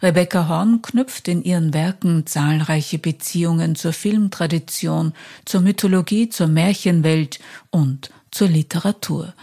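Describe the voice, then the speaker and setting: A woman interviewer with a very low, mellow voice and a slightly gravelly, husky edge. She reads a prepared introduction in a measured way, then puts formal questions to her guest. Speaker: interviewer and podcast host.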